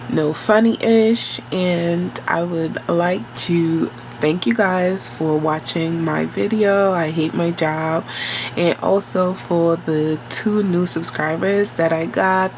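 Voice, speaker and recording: Slow nasal New storyteller. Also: mid-range voice, fairly even intonation, slight background noise. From New York City. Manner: slow, rambling and conversational, with many fillers and self-corrections. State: nasal from a cold. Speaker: storytime vlogger. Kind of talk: casual storytime monologue.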